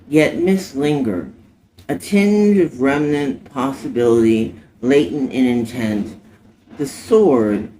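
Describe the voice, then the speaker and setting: A man reciting poetry in a dramatic spoken word style, in deliberate short phrases with emphatic stresses. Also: mid-range voice, a little mellow. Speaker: poet reciting own poem. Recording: clearly noisy recording, ambient background.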